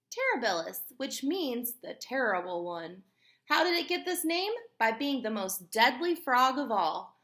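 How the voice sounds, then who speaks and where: A woman reading a children's book aloud like a teacher, expressive and emphatic, pausing to explain and put questions to her young listeners. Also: on the high side. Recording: roomy, echoey room sound.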